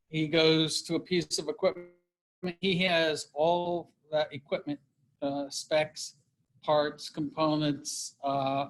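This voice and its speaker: A man in a casual meeting discussion, explaining at a measured pace with many fillers. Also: on the high side, poor audio. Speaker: meeting participant.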